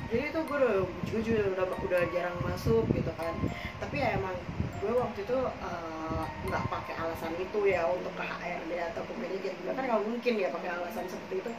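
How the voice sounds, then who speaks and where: A hoarse young woman talks casually and frankly, wandering through her story with plenty of fillers. She sits some distance from the mic, so her voice comes back off the walls of an echoey room. A steady noise runs underneath her words. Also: on the low side, a little fast. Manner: rambling, full of fillers, chatty and frank. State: hoarse, coughing. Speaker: podcast co-host telling her own experiences. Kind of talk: casual chat in Jakarta slang.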